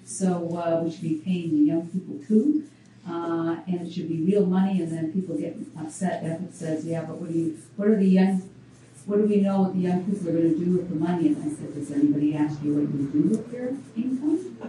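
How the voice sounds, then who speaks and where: A woman's voice: lowish voice, fairly quick, warm tone. A female researcher at a public café panel, speaking earnestly and firmly with frequent "um"s. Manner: earnest, firm, many "um"s. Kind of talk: panel discussion. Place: coffeehouse event room. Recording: echoey room, distant mic, faint noise.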